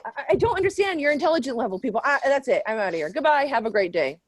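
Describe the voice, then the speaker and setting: Woman acting pompous, offended lady professor, higher-pitched. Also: sing-song, dry recording. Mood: furious. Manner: pompous, indignant, deliberate phrasing. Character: haughty, easily offended female archaeology professor and occult expert. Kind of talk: improvised comedy sketch.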